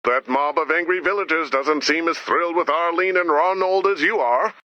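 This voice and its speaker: A man playing a stern, dryly skeptical commander in a video game, formal and deadpan. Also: on the high side, normal speed, lo-fi sound, a little hiss or hum. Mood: dry skepticism. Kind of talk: animated video game character dialogue.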